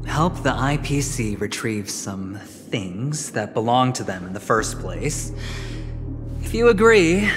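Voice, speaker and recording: A man playing a suave, scheming young gambler in a video game, smug and teasing. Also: medium pitch. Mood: sly, persuasive. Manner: smooth-talking, smug, theatrical, teasing. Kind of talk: dramatic video game voice acting. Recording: long hall reverb, game music behind.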